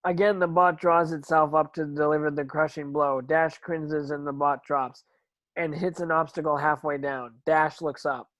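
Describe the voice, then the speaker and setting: A man's voice: a little high, little pitch movement, moderate pace, a bit bright, dry recording, highs cut off. A man narrating screen action from a script as a stage directions reader, now and then stumbling over words.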